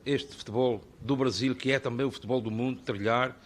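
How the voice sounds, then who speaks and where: A man's voice: medium pitch, steady tone, fairly quick. A middle-aged man with an Azorean Portuguese accent, giving a formal, ornate speech at a parliamentary hearing and building long rhetorical lists. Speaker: invited speaker at a parliamentary hearing. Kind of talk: formal public address.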